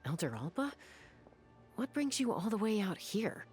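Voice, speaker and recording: A woman's voice: medium pitch, sing-song, normal speed, a little mellow, faint music behind, natural voice. A woman playing a tough, commanding young gunslinger in a fantasy game, stern and probing. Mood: pensive. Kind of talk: video game dialogue acting.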